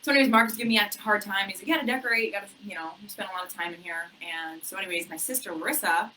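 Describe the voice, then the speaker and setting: Bubbly loud-voiced woman realtor. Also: mid-range voice, very fast, distant mic, a little hiss or hum, rough recording. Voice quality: loud voice that carries. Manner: bubbly, chatty, laughs often, says "anyways" a lot. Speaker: realtor co-hosting a local real estate show. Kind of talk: casual chat. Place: her office.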